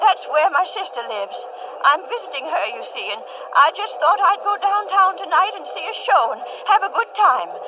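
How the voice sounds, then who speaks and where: Dear old lady, genteel and fluttery, extremely high lilting voice, 1940s radio drama, muffled. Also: sing-song, moderate pace, background noise. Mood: hesitant. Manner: hesitant, genteel. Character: dear little old lady, polite, fluttery, faintly sly.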